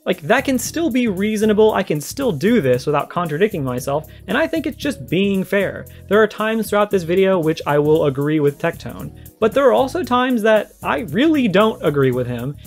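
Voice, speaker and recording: Male, slightly high voice, casual commentary, argumentative yet conversational, stressed key words, music behind. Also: sing-song, normal speed, hardly any pauses. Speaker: video creator giving a response. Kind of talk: casual commentary to camera or mic. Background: background music.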